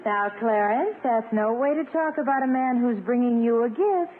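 A woman's voice: fairly high pitch, normal speed, natural voice. An actress playing a poised, amused, flirtatious woman with a coy, teasing manner in a 1950s radio comedy. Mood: polite. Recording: narrow-band old radio recording, faint noise.

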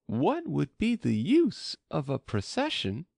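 A man's voice: on the high side, huge pitch swings, slow, gravelly, darkish tone, no echo, clean studio sound, voice pushed up, heavily acted. A man voicing a polite but bold and decided little girl in a storybook. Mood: puzzled.

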